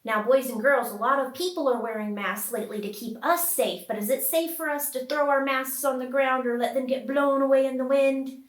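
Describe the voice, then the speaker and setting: A woman hosting a puppet storytime, talking to young children in an exaggerated, sing-song way with questions and dramatic pauses. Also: high-pitched, echoey room.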